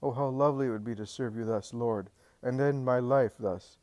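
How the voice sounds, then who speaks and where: A man's voice: medium pitch, normal speed, darkish tone, no room sound, close to an everyday voice. A man voicing a poor, blind old village man who speaks in a trembling, pleading way. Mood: hopeful.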